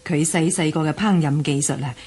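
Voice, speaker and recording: A woman's voice: low-pitched, steady tone, a little fast, noisy background, close to an everyday voice. A woman acting a middle-aged widowed mother in a Cantonese radio drama, plaintive and fond, with a Hong Kong accent. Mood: fondly boastful.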